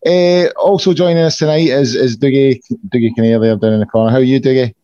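Hoarse Scottish man hosting a podcast. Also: mid-range voice, steady tone, quick pace, warm tone, dry recording, highs cut off. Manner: chatty, many fillers and restarts. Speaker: podcast host. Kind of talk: casual podcast chat.

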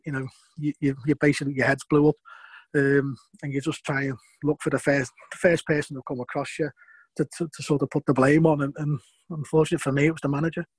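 A man's voice: a little high, flat delivery, quick pace, slightly rough, no room sound, rough recording. Scouse man telling stories, dry sound. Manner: rambling, repeats words, chuckles between points. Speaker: former footballer. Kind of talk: interview storytelling.

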